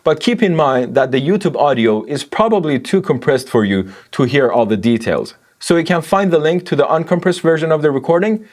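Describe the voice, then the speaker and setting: A man narrating a scripted technical audio review in an explanatory, measured manner. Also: fairly high pitch, expressive, darkish tone.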